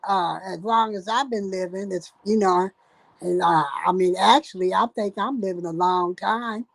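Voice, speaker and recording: An older woman from Ohio, the host's mother, chatting as a guest in halting speech full of fillers and restarts. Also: medium pitch, creaky, no room sound. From Dayton, Ohio, USA. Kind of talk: casual chat.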